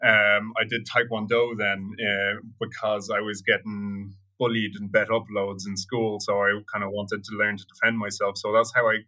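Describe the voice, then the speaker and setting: A young Irish man answering interview questions in a thoughtful, measured way with some fillers. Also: on the low side, flat delivery, pushed and bright, low-quality recording. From Ireland. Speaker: guest.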